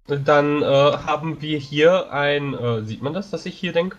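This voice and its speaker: A man's voice: fairly high pitch, measured pace, highs cut off. Hesitant man thinking aloud. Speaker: tabletop player. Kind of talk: planning a battle in a tabletop game.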